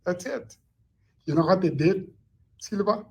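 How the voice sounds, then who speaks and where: Insistent high-voiced man, former teacher arguing his case. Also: animated, unhurried, gravelly, warm tone. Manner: insistent, repeats points for emphasis. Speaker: former school teacher and parent. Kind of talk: heated confrontation.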